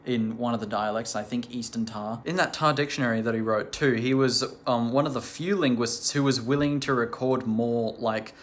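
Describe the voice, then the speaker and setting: A man's voice: medium pitch, moderate pace, hardly any pauses. A man hosting a language channel, talking casually and enthusiastically to camera with lots of "like" and "um" fillers. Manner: chatty, rambling with many "like" and "um" fillers; enthusiastic and curious.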